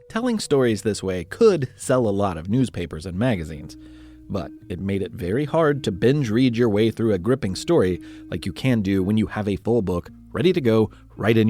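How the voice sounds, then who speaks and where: A man's voice: on the low side, darkish tone. A man narrating scripted history as a podcast host, in a playful, conversational storytelling way with dramatic emphasis.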